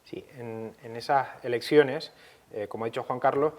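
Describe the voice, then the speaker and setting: A man from gives an unscripted historical explanation at a middling pitch. His delivery is measured and didactic. He often hesitates and repeats a word before carrying on. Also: steady tone. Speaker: history commentator.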